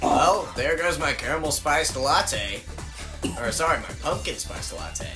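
A man's voice: fairly high pitch, expressive, measured pace, over music. A man voicing a bratty female swallow racer in a comedic fan dub, teasing and whiny as she bickers. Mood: bickering.

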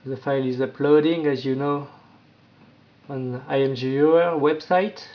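A man presenting an informal step-by-step app tutorial, with halting, non-native phrasing. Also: medium pitch, steady tone. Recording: dull, lo-fi sound.

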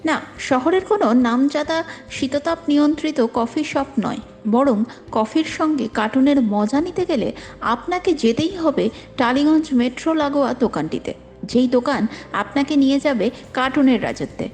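A woman's voice: high voice, expressive, moderate pace, darkish tone. A woman reading scripted narration for a news feature.

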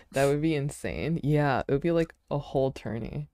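A woman streamer chatting casually with friends while she draws, relaxed and laughing often with lots of fillers. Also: sits low, moderate pace, no room sound. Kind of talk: casual chat while drawing.

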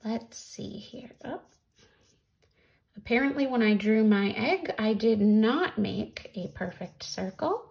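A woman in her thirties, speaking deliberately as a teacher presenting read-alouds and crafts for children, recorded in a small room at home. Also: on the high side, animated, gravelly, a little mellow, poor audio.

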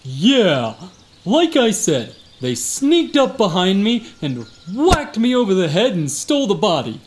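An actor playing a boastful, bumbling security guard in a comic radio play, with an exaggerated manner. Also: male voice, sits high, wildly swooping pitch, a little slow, slight background noise, exaggerated voice. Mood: bumbling bravado. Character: boastful, dim, attention-hungry male security guard. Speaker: temple guard witness.

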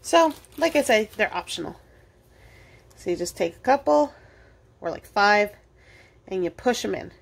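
A woman's voice: medium pitch, sing-song, unhurried, gravelly, long pauses. A woman hosting an informal step-by-step cooking tutorial, explaining casually as she works with her hands at a kitchen counter. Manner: casual, explanatory, talking while working with hands. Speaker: cooking demonstrator, host of a library cooking show.

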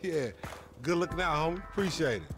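An actor playing a brash, cocky, loudmouthed young street hustler, boastful and slangy, in a video game. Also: male voice, a little high, lively intonation, fairly quick, over music, lightly acted. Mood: defiant. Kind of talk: video game dialogue, trash-talking banter.

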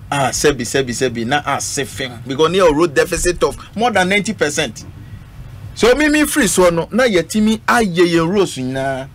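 Man, Ghanaian accent, slightly high voice, emphatic, repetitive phrasing, political panel talk, light background noise. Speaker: radio panel guest. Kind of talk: political panel discussion, code-switching. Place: indoor radio studio. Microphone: studio microphone on a stand. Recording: noisy live radio studio stream.

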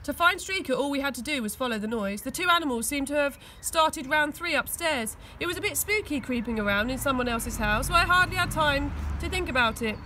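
A woman's voice: high voice, expressive, fairly quick, few pauses, sharp tone, slight background noise. A woman reading a children's story aloud expressively, recorded outdoors. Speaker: school teaching assistant reading aloud. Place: outdoors on grass.

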